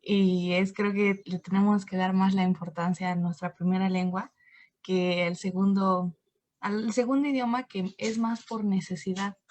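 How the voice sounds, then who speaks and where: A woman's voice: medium pitch, darkish tone, a little hiss or hum. A teenage girl answering in an online class, thoughtful and earnest, on a video call mic. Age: teens. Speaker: student. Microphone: computer mic on a video call.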